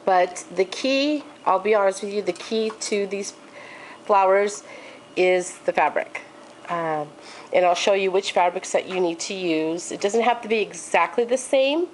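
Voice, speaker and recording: A woman walks viewers through a handmade craft project in a relaxed, chatty way. Her voice is congested and sits on the lower side. A faint hiss of noise runs under the recording. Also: poor audio. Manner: chatty, with frequent ums and okays. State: congested from allergies. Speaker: crafting tutorial host. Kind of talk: casual craft tutorial.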